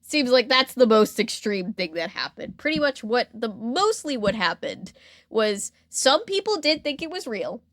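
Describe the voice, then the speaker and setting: A woman hosting a podcast, casually retelling history in a chatty, animated way with lots of filler "like". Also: a little high, a little slow, no echo, clean studio sound. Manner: chatty, animated retelling with acted-out quotes and frequent "like". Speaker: podcast host. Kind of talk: casual storytelling about pop culture history.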